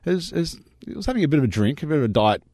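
A man with a slightly croaky voice, chatting and mocking the news as a radio co-host. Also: fairly high pitch, sing-song, racing, a little mellow, no room sound, studio quality. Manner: chatty, mocking, laughs often. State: croaky voice from yelling. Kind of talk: casual radio chat.

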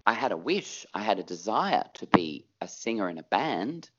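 A man's voice: on the low side, very animated, normal speed, a bit bright, muffled recording. A middle-aged Australian man, a performer, talking reflectively in an interview and stretching words for emphasis.

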